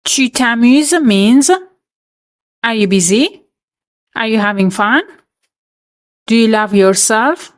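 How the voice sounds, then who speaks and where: Slow, measured woman reading a French quiz. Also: fairly high pitch. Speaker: French teacher. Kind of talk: scripted quiz narration.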